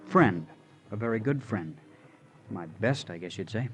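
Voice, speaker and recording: An actor playing a gentle, devoted young drifter in old radio drama, talking simply, earnestly and hesitantly. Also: male voice, on the low side, animated, measured pace, soft background music. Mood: earnest, halting. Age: young adult. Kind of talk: 1940s-50s radio drama acting. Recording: noisy vintage radio recording.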